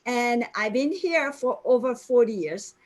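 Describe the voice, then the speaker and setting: Japanese woman, 60s, slow earnest testimonial, a touch high-pitched, video call. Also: expressive. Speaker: guest speaker sharing her story.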